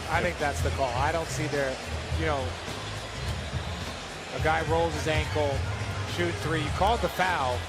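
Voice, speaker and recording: A man's voice: on the high side, steady tone, moderate pace, long pauses. A man giving informal, conversational basketball analysis, explaining plays in loose, broken sentences. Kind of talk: conversational live sports analysis. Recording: broadcast feed under loud music.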